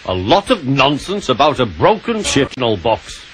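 A man's voice: medium pitch, huge pitch swings, unhurried, fairly bright tone, slight background noise, voice pushed up, exaggerated voice, low-quality recording. A man voicing a proud, grumbling big male express engine with a pompous manner. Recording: music playing under the voice.

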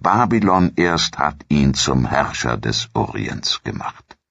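Very deep, gravelly male history narrator. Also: very animated, takes it slowly, fairly bright tone, dry recording. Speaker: documentary narrator.

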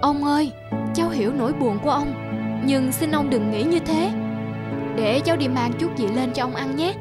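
A woman voicing a devoted young grandson in an animated drama, gentle and solicitous. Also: high voice, moderate pace, over loud music, close to an everyday voice. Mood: concerned.

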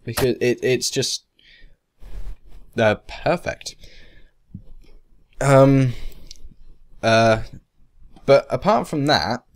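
A man narrating a software tutorial casually, in a rambling, hesitant, self-deprecating way. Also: medium pitch, very slow, pauses often. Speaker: tutorial presenter. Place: indoors, screen-recording voice-over.

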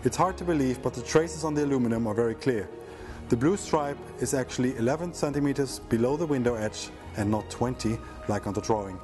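A man's voice: medium pitch, fairly quick, music in the background. A middle-aged man giving scripted voice-over narration about his own restoration project.

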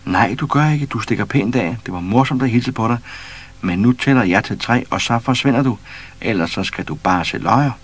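Troldepus, a man voicing him, cheeky troll boy. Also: low voice. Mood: cheeky bold. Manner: bold, cheeky, commanding.

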